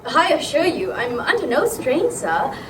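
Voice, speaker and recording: Woman as high-voiced, polite young farm schoolgirl. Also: big pitch swings, measured pace. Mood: polite, matter-of-fact. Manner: deferential, meek, chatty. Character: polite, humble farm schoolgirl, innocently evasive. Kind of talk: theatrical comic drama. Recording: roomy, distant, clearly noisy recording.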